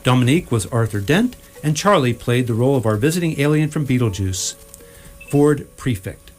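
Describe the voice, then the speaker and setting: A man's voice: mid-range voice, expressive, moderate pace, faint music behind. A man announcing for a radio theatre troupe in a formal, theatrical scripted style.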